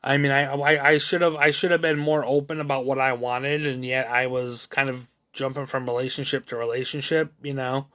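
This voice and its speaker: Bright-voiced man in his thirties, halting. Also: mid-range voice, little pitch movement. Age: 30s. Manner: halting, many restarts and fillers; candid, self-critical. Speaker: video creator. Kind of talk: rambling personal monologue.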